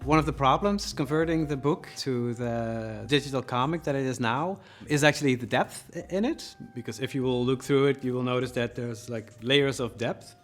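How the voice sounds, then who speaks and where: A man's voice: mid-range voice, normal speed, hardly any pauses. A man casually explaining his work as a developer in an interview, informally thinking aloud. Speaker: creative developer. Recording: music behind the voice.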